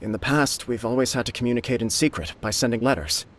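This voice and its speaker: A man's voice: medium pitch, fast, fairly bright tone, slight background noise, natural voice. An actor playing an earnest young nobleman who speaks sincerely and respectfully, in a plain, direct way. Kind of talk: game dialogue voice acting.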